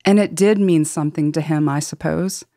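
A woman reads scripted podcast fiction in a deep, mellow voice. The sound is very dry, free of room echo. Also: moderate pace.

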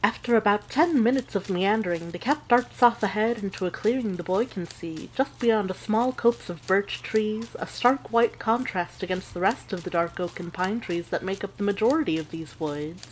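A woman playing a witch who narrates an audio drama with measured, deliberate storytelling. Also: mid-range voice, a little hiss or hum, lightly acted, low-quality recording.

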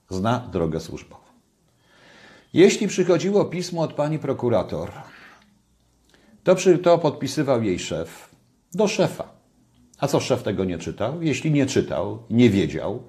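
An older man with a low, mellow voice delivers dry, sarcastic political commentary straight to his webcam. He talks from a home kitchen and keeps hammering his points with repetition and irony. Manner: ironic and sarcastic, repeats points for emphasis.

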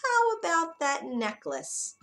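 A woman's voice: high-pitched, wildly swooping pitch, slow, a bit bright, soft background music, pitched above the performer's own voice, exaggerated voice. A woman voicing a grasping little male imp who bargains slyly and smugly. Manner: smug, sly bargaining.

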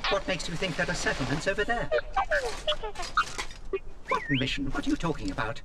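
High-pitched, fussy British robot. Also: male voice, big pitch swings, a little fast, very noisy. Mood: indignant, fretful. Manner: prim, fretful, proper. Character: fussy, anxious, prim humanoid protocol droid. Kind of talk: video game cutscene acting. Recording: loud game sound effects behind.

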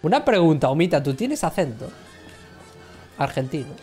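A man's voice: fairly high pitch, big pitch swings, normal speed, mellow, soft background music. A man in his thirties chatting casually with his viewers while he plays, joking and mocking himself from his gaming chair at home. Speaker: livestream gamer. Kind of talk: casual banter with chat.

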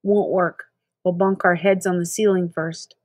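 A woman's voice: fairly low pitch, steady tone, darkish tone, no room sound, clean studio sound. Woman reading as a hesitant, apologetic schoolboy. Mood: glum. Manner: halting, unsure. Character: hesitant, apologetic schoolboy, the narrator's gloomy friend.

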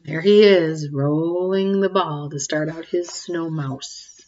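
A woman in her forties reads a picture book to small children in a slightly low voice. She takes it very slowly, stopping to ask questions and comment in an expressive, child-directed way. The sound is a little dull, as from a webcam in a room at home. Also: a little hiss or hum. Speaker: teacher reading aloud to young children. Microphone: webcam or laptop mic at desk distance.

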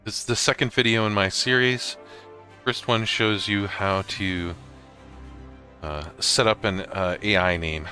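Deep, bright-voiced man giving a casual tutorial. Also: fairly even intonation, measured pace, soft background music. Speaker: host of a gaming tutorial series. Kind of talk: casual software tutorial narration over slides and screen capture.

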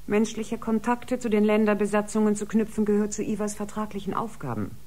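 An actress playing a composed, caring ship doctor in a radio drama, speaking in a measured, diplomatic way. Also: female voice, mid-range voice, fairly even intonation, dark tone, close to an everyday voice. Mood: composed, persuasive. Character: composed, caring female ship doctor and scientist, tactfully persuasive.

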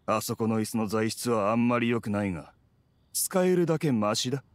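A man's voice: lowish voice, lively intonation, a little fast, no echo, close to an everyday voice. Haughty, impatient young man acted by a man. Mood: grudging. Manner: complaining, blunt. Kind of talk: anime video game dialogue.

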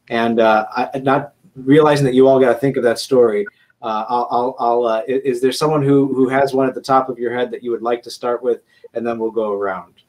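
A man's voice: medium pitch, darkish tone. A man moderating a panel over a video call, hesitant with many restarts. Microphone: webcam on video call.

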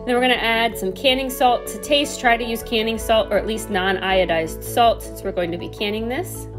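A woman's voice: a little high, steady tone, normal speed, music in the background. A woman in her thirties, a homesteading host, chatting through recipe steps in a home kitchen. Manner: chatty step-by-step explaining. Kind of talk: casual cooking voice-over.

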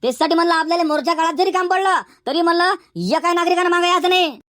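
A man's voice: extremely high pitch, steady tone, very fast, sharp tone, no echo, studio quality. A man voicing a feisty, henpecked old village uncle in a comedy cartoon, with a Varhadi Marathi accent and emphatic, repetitive phrasing. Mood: firm, insistent. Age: older.

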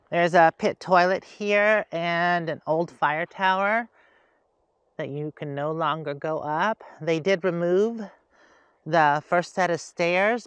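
A man's voice: a little high, fairly bright tone, smooth, no room sound. A man hosting a casual travel vlog, chatting and thinking aloud as he drives, recorded inside a vehicle with road noise. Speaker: host.

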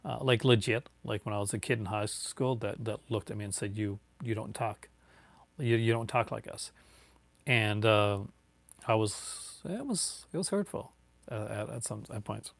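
A man's voice: on the low side, normal speed, vocal fry, no room sound. A man in his forties with a light Newfoundland accent, chatting casually and rambling with many fillers and restarts on a live stream from home. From Newfoundland, Canada. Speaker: YouTuber answering viewer chat. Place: home room with bookshelves, indoors.

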